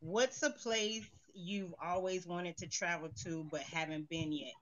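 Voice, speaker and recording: A woman hosting a casual dating show, chatty, with soft-spoken asides. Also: lowish voice, unhurried. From Germany, raised in Panama. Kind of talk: casual chat.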